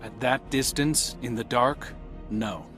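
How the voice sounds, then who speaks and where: An actor voicing a watchful, guarded lone scout in a video game, with a wry, understated, conversational delivery. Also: male voice, mid-range voice, slow pace, fairly bright tone, faint music behind. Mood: defensive. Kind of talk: game character mission dialogue.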